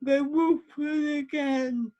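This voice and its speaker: A woman reading her poem aloud over a video call, in a measured, lingering way. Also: high-pitched, fairly even intonation, mellow, dull audio. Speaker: poet reading. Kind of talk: slow poetry reading.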